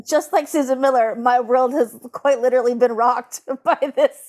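A woman with a somewhat high voice chats casually as a podcast co-host. She is animated and prone to giggling. Her sentences are loose and full of "like". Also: normal speed. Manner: animated, giggly, uses "like" constantly. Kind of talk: casual comedic chat, recapping and reading aloud.

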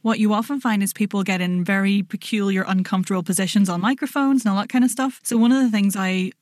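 A woman's voice: medium pitch, quick pace, a little mellow, no room sound. A voice coach talks a guest through posture and breathing, practical and easygoing with a touch of self-mockery. Her voice sits mid-range and is captured dry and close. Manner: practical, instructive, self-deprecating. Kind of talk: casual podcast conversation. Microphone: podcast microphone, seated.

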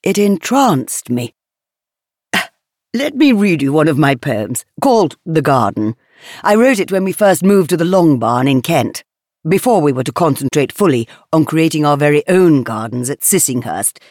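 A refined, aristocratic English woman writer telling her own story in a reflective audio drama. Her voice is expressive and melodic, with wide swings of intonation. The delivery is genteel, measured and literary, with a wistful temper. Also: low-pitched, no room sound, studio quality. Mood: fond, wistful, then fond. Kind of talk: scripted first-person monologue, reflective storytelling.

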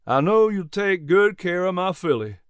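A man's voice: high-pitched, sing-song, moderate pace, clean tone, no room sound, pitched above the performer's own voice. Guy doing a generous teasing older horse owner, folksy and emphatic, raised voice, close dry sound. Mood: warm, insistent. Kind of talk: audiobook character dialogue.

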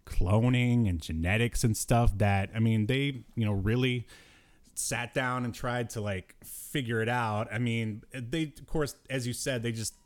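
A man's voice: mid-range voice, unhurried. A man co-hosting a podcast, chatting casually about cartoons in a rambling way with bits of slangy talk. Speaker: podcast co-host.

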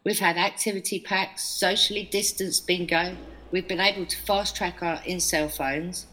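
A measured, earnest English prison manager talks about staff and prisoners in an audio drama. Her voice sits fairly low and stays reasonable. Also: fairly even intonation, pushed and bright, poor audio. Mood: upbeat. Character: woman prison staff member, earnest, defensive.

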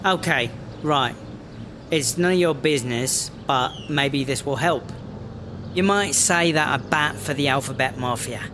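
A man's voice: medium pitch, a little slow, noisy background, natural voice. A man playing a brash, foul-mouthed English smuggler in a sci-fi comedy audio drama, sardonic and slangy. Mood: matter-of-fact.

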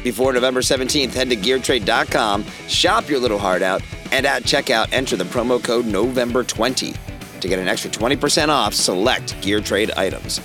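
A middle-aged man with a raspy voice hoarse from a cold, hosting an opinionated outdoor podcast with emphatic, wry delivery. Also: medium pitch. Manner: emphatic and wry, lively rises on key words. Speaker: podcast host.

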